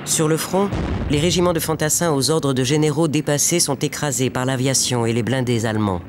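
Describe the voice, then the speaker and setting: A man delivering scripted documentary narration in a measured, solemn way. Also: mid-range voice, noisy background. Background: music underneath.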